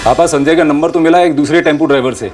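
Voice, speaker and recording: An actor playing a rough young gang henchman in a TV crime drama, talking in brisk, coarse street speech. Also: fairly high pitch, music in the background, close to an everyday voice. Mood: irritated. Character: rough young male gang henchman reporting to his boss.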